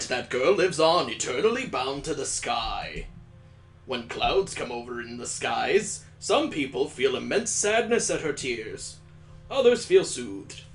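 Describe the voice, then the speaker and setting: A man with a slightly high voice reads a story game's text aloud in a mock-solemn tone. He keeps interrupting himself with dry, sarcastic jokes about what he's reading. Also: fairly bright tone. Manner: wry, mocking asides between dramatic readings. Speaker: let's play host.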